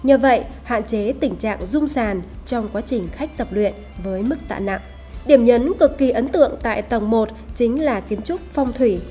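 A woman's voice: fairly high pitch, moderate pace, warm tone. A woman reading a scripted promotional voice-over as a narrator. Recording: voice over background music. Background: music.

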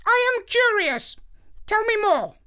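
Shrill, haughty storybook parrot. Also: male voice, big pitch swings, bright, forceful tone, heavily acted. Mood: pompous, curious. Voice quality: shrill, squawky. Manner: pompous, drawn-out theatrical delivery. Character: haughty, vain genius parrot detective, squawky. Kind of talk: children's storybook character voice.

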